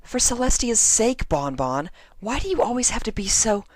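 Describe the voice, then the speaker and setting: A man's voice: high-pitched, big pitch swings, normal speed, no echo, voice pushed up. A man voicing a chatty, argumentative female pony who is given to daydreams and easily exasperated. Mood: excited, exasperated.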